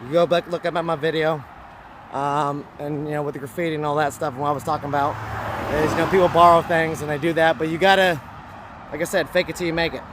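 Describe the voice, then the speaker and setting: A man with a hoarse voice, talking to camera in a rambling, repetitive, preachy vlog monologue. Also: fairly high pitch, fairly even intonation, fast talker, background noise. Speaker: vlogger.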